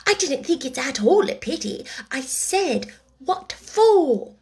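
A woman plays a young girl from a children's storybook. Her voice is high and self-possessed. She is well-mannered yet plucky, and speaks her mind with decision. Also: very animated, takes it slowly, a little mellow, full character voice. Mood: matter-of-fact. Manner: polite yet decided.

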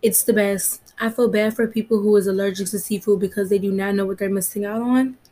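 A young woman hosts her own podcast, talking casually and drifting into off-topic asides. Her voice is a bit hoarse from allergies. Her pitch stays fairly flat and level throughout. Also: fairly quick. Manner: chatty, rambling, off-topic asides. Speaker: podcast host. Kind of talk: casual solo podcast talk.

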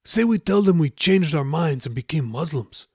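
A man's voice: a little high, animated, normal speed, breathy edge, no room sound, clean studio sound, voice pushed up. A man voicing a reformed tough young male sailor in an audiobook, steadfast and earnest, reasoning in slangy speech. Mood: firm, reasoning.

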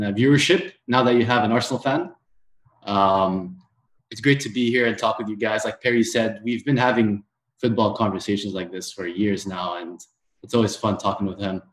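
A man from Tunisia with a lowish voice, telling his story as a podcast guest. His talk is relaxed and friendly. The audio has a roomy echo around it. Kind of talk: casual podcast chat.

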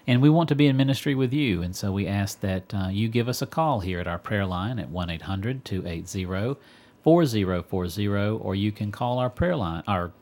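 A man's voice: lowish voice, animated, normal speed, a little mellow. A man co-hosting a religious radio program, speaking earnestly and repeating phrases for emphasis. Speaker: radio co-host and preacher. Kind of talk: religious talk radio discussion.

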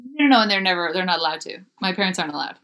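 A young woman speaking candidly as an interview guest, chatty with lots of fillers and restarts, her pitch jumping up when she gets animated. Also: racing, clean tone. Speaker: author and musician guest.

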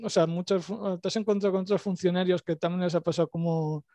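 Host: man, Basque Spanish accent, mellow dry voice, casual rambling self-deprecating chat. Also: sits high, little pitch movement, quick pace, dry recording. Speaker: podcast host. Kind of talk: casual interview podcast.

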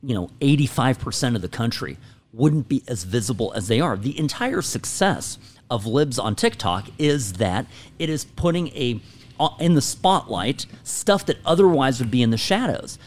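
A middle-aged man hosts a talk radio conversation. He speaks in an opinionated, emphatic way, stressing key words deliberately. His pitch sits in an ordinary male range. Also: very animated. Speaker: talk show host.

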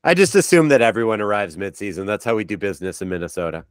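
A man's voice: lowish voice, big pitch swings, racing, smooth, no room sound. A man co-hosting a casual sports podcast, talking with wry, dry humor. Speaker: podcast co-host.

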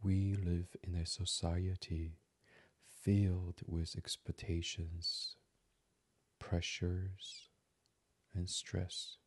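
Very low, slow, hushed man guiding sleep. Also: little pitch movement, husky, no echo. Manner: hushed, soothing delivery slipping into near-whisper. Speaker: meditation podcast host. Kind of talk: guided sleep meditation, ASMR.

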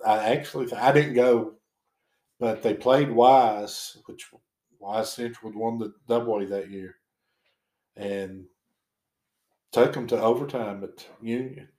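A man's voice: mid-range voice, slow pace, pauses often, a little mellow, echoey room. A middle-aged man with a Southwest Virginia accent, a former basketball coach turned principal, giving short, plain-spoken answers in a casual interview. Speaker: retired basketball coach, now school principal.

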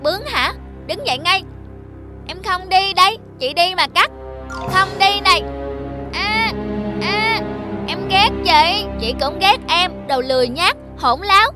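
Stubborn young girl, high childlike voice, cartoon folk tale, prominent music. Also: a little slow, long pauses. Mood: angry, squabbling. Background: prominent background music.

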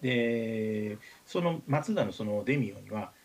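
Male radio talker, Kansai accent, lively swinging intonation, relaxed conversational storytelling. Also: lowish voice, unhurried, low-quality recording. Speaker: radio show talker, writer. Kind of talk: casual chat and anecdote telling.

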